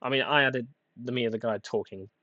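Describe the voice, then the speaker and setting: Young male podcast host, rambling and hesitant, plain flat voice, slightly under the weather; dry, dull lo-fi mic. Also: medium pitch, fast, dry recording. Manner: rambling, self-deprecating, hesitant with many uhs and restarts. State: getting over a cold. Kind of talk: casual solo podcast rambling.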